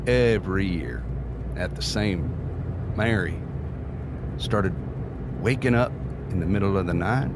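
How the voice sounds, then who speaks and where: Guy acting as gruff worn-out middle-aged man in a radio play, deep slow talk, blunt n grieving, car noise behind. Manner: halting, blunt, profane. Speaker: actor in a scripted podcast. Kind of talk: scripted audio drama acting.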